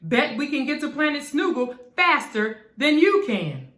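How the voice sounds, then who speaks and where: A woman's voice: high-pitched, very animated, measured pace, fairly bright tone, reverberant, pitched above the performer's own voice, exaggerated voice. Woman voicing boastful big Martians, raised and swooping. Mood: taunting. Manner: mocking, boastful. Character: big, unfriendly, taunting Martians challenging children to race.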